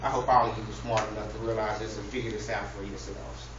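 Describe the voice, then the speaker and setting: A man's voice: on the low side, flat delivery, fast talker. Man, public speaker, joking preacher-like delivery, heavy room echo, muffled recording. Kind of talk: humorous persuasive speech to a live audience. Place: echoey indoor room with an audience. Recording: distant, very echoey, noisy, muffled room recording.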